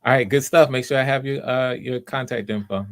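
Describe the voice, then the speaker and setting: A man's voice: medium pitch, steady tone, a little fast. A man hosting live auditions casually, coaching in an encouraging way and often repeating his words. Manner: encouraging, hesitant repetitions. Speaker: audition host.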